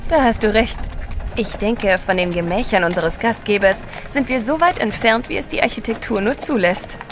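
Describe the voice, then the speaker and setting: Eine Schauspielerin spielt in einem Hörspiel eine vornehme, liebevoll neckende Ehefrau eines Gentlemans. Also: female voice, medium pitch, moderate pace, background noise, natural voice. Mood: wry. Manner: polite, playful. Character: refined, teasing, affectionate gentleman's wife. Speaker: actor in an audio drama. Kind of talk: German audio drama acting.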